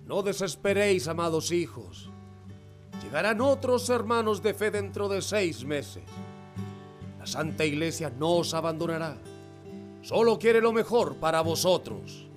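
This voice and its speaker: Fatherly, exhorting missionary priest, fairly high-pitched. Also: lively intonation, measured pace, soft background music. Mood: fervent reassurance. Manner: exhorting, formal, pious. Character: fatherly missionary priest urging hope on his despairing converts. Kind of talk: radio drama acting.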